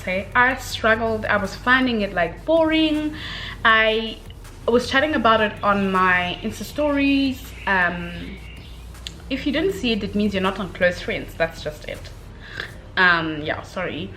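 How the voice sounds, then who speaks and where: Expressive, bright-voiced woman chatting about books. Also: on the high side, a little hiss or hum, low-quality recording. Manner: expressive, slangy, girlfriend-to-girlfriend address with emphatic check-ins. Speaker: book reviewer vlogger. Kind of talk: casual chatty book review to camera.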